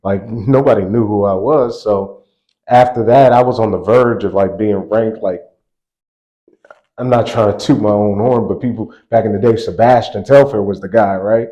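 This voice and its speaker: Man, 40s, fairly low pitch, basketball coach, casual storytelling, laughs often, lots of restarts. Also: normal speed, warm tone, muffled recording. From grew up on a US army base in Germany. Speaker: college basketball assistant coach, guest.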